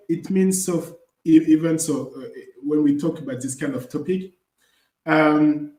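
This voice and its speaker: A man co-hosting a podcast, speaking earnestly and haltingly, often checking in with "you know?" and "you see?". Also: fairly high pitch, a little mellow. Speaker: podcast co-host. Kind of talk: casual chat. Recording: echoey room.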